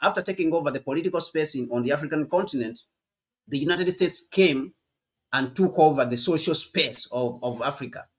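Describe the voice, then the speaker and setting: A man's voice: fairly high pitch, brisk. A man from Uganda giving emphatic political commentary, often repeating himself and checking in with "all right?".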